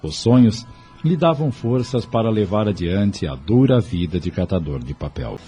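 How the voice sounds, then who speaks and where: A man's voice: on the low side, mellow. A man narrating an audio novel in a scripted storytelling style. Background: music.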